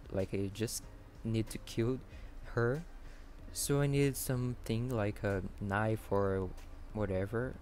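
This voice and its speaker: A Brazilian man with a Portuguese accent, a native Portuguese speaker, giving casual gameplay commentary in halting English and searching for words. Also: lowish voice, darkish tone, a little hiss or hum. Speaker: gaming channel host.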